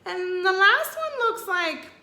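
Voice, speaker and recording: A woman's voice: very high voice, sing-song, slow, sharp tone, reverberant, pitched above the performer's own voice, exaggerated voice. A woman voicing an eager, plain-spoken young girl in a storybook, perky and matter-of-fact.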